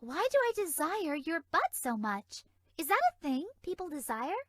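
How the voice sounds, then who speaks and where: A sweet, naive fairy for a video game. Her voice is extremely high and airy, with animated, bouncy melody. She sounds innocently flirty, in a very dry recording. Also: normal speed, no room sound. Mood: bewildered, anxious. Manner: bubbly, innocently suggestive, airy. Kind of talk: video game character dialogue.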